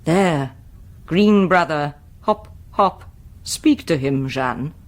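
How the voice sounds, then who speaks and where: A British woman playing an intense, brooding Mother Superior in a radio drama, moving between command and hush. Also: low voice, lively intonation, very slow, full character voice. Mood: dreamy reminiscence. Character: troubled, imperious Mother Superior of a convent.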